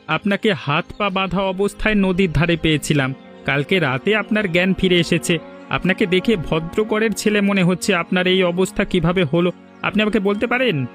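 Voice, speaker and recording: A man's voice: high voice, moderate pace, fairly bright tone, soft background music. A man playing a kind, modest and helpful villager in a cartoon drama, speaking politely and humbly. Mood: concerned.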